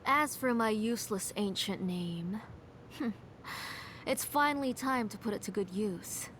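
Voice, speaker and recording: A woman voicing a middle-aged, grieving, obsessive mother in a video game, in a soft, hushed and fervent manner. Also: medium pitch, unhurried, a little hiss or hum, close to an everyday voice.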